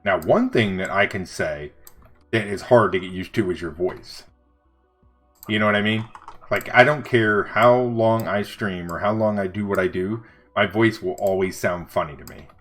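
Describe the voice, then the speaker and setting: A middle-aged man with a deep voice, chatting casually on a livestream as he draws and answers chat. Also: normal speed, faint music behind. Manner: relaxed, rambling, reads and answers chat. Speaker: livestreaming vector artist. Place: home studio desk.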